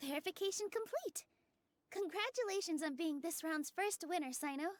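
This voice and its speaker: A young game character running a contest. She is courteous and upbeat, with a high voice and an announcer's delivery. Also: animated, normal speed, slight background noise. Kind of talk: video game voice acting.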